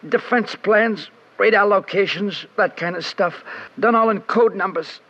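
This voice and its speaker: An actor playing a whiny small-time crook with slangy grammar in a vintage radio crime drama. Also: male voice, high voice, a little slow, sharp tone, exaggerated voice. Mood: grudging confession. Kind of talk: 1940s-50s radio crime drama acting. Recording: muffled vintage radio recording.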